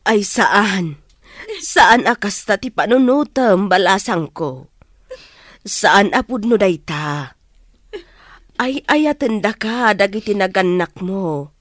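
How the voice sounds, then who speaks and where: A woman's voice: mid-range voice, lively intonation, takes it slowly, pauses often, no room sound, clean studio sound. A woman acting a kindly, reassuring middle-aged aunt in a radio drama. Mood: concerned, soothing.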